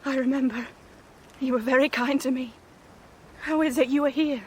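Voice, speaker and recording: A woman's voice: high voice, steady tone, moderate pace, a little hiss or hum, lightly acted. A woman playing a timid, wistful young woman in a period radio drama.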